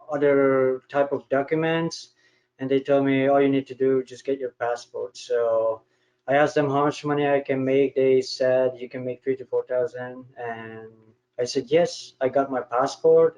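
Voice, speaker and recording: A man from Hungary, answering interview questions slowly and matter-of-factly on a video call. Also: medium pitch, a little mellow. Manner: slow, careful, matter-of-fact. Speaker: guest speaker. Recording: dull lo-fi video call audio.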